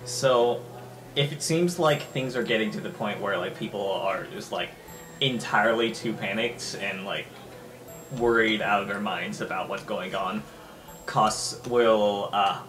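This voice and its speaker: A man's voice: on the low side, a little slow, fairly bright tone, faint music behind, low-quality recording. A man talking casually as a player in an improvised tabletop game, describing his character's actions. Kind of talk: casual improvised roleplay talk.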